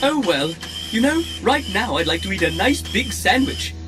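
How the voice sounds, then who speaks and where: An actor playing a fussy, haughty, malfunctioning protocol droid in an audio drama, with a prissy, pompous, theatrical delivery. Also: male voice, high-pitched, very animated, normal speed. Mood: bored, haughty. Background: music.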